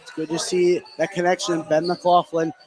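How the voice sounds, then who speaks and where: Higher-voiced male color commentator, crowd behind. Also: completely flat delivery, normal speed, low-quality recording. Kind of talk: conversational sports analysis. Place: indoor basketball gym, courtside broadcast. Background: crowd noise.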